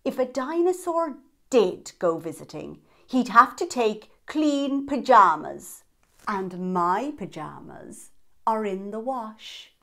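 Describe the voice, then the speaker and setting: A woman voicing a big, childlike, worried dinosaur from a picture book, in an anxious and expressive manner. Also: a little high, slow, vocal fry, exaggerated voice.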